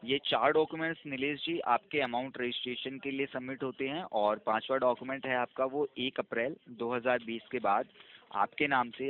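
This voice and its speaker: Brief: male caller on a tinny phone line, smooth and procedural, mid-pitched. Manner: smooth, procedural, persuasive, mixing English terms. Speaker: phone caller posing as insurance agent. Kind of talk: scripted sales phone call.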